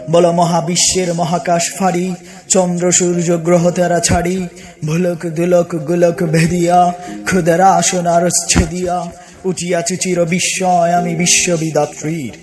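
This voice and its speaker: A man reciting poetry in a dramatic, declamatory manner, in emphatic short phrases broken by pauses. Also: sits high, darkish tone. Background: music.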